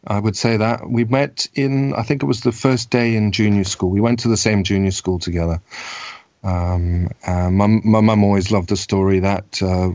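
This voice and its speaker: Low-voiced friendly man from Zimbabwe. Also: steady tone, moderate pace, a little mellow, no room sound. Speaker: podcast guest. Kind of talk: casual podcast chat. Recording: dull lo-fi remote audio.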